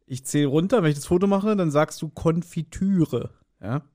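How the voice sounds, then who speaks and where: Higher-voiced man in joking podcast chat. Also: moderate pace. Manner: joking, teasing, animated storytelling. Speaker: podcast co-host.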